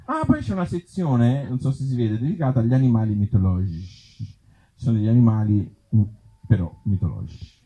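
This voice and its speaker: A man's voice: mid-range voice, sing-song, brisk, warm tone, husky, low-quality recording. A man reading his own absurd short stories live, breaking off into conversational, self-deprecating asides to the audience. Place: live outdoor festival event before an audience.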